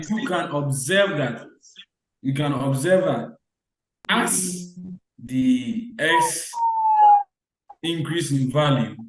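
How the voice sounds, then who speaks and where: A man's voice: fairly high pitch, echoey room, slight background noise, low-quality recording. A man teaching an online lecture who quizzes students with repeated short questions and restates his points. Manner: repetitive call-and-response questioning.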